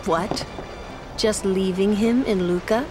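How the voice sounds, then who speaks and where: An actress playing a stern, sardonic, protective young woman in a video game, speaking in a measured, dry, pointed way. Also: medium pitch, steady tone, natural voice. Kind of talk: video game cutscene acting. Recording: music behind the voice.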